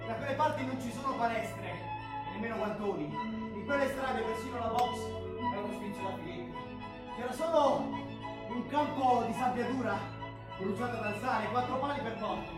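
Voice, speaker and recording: A man's voice: extremely high pitch, moderate pace. A man playing a tough, restless young rugby player from the streets in a projected theatrical monologue. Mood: intense, nostalgic. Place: large theatre stage. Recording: echoey theatre from far off, loud music.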